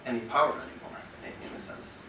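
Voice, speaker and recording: A man from western Norway with a Norwegian accent, talking to a concert audience from the stage in a halting, thoughtful way with many pauses and restarts. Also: very noisy. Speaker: musician introducing his work on stage. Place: concert club venue. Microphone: stage microphone through the house speakers, recorded from the audience. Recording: roomy venue sound recorded from far off.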